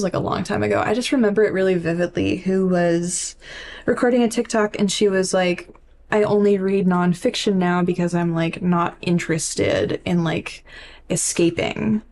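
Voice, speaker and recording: A woman's voice: mid-range voice, moderate pace, a little mellow. A woman co-hosting a podcast in casual book discussion, chatty and peppering her sentences with "like". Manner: chatty, heavy use of "like". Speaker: podcast co-host.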